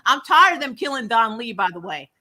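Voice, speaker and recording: Bright, high-voiced woman bantering emphatically. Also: very animated, fairly quick. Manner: emphatic, exclaiming, swearing freely, laughing often. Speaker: co-host of a movie review podcast. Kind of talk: animated casual banter reviewing a film.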